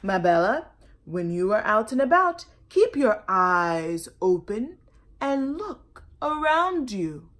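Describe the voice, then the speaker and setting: A woman voicing a wise mouse father in a storybook, slowly and emphatically giving his daughter advice. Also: mid-range voice, big pitch swings, a bit bright. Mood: earnest warning. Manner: slow, emphatic, instructive.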